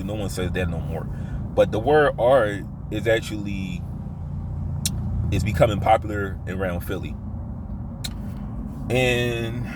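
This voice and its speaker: Male vlogger, Philadelphia accent, lowish voice, leans hard on his O's, halting and repetitive personal storytelling. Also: background noise. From Philadelphia, United States. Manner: stresses his O vowels; halting, repetitive, circles back to his point.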